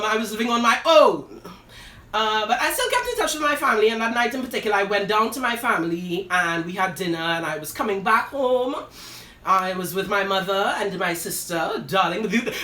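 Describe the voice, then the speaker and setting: A woman's voice: fairly high pitch, sharp tone. A woman, hosting a live show and telling a personal story with dramatic, sassy flair. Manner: dramatic, sassy asides, addresses listeners as "girl" and "darling". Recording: roomy echo, voice from a distance.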